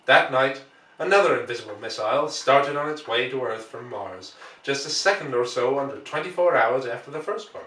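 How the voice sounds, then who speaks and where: A man narrates a classic story in a measured, expressive way, with deliberate pauses for drama. His medium-pitched voice sits back from the microphone in an echoey room. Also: far from the mic. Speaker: audiobook reader. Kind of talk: dramatic literary narration, reading aloud.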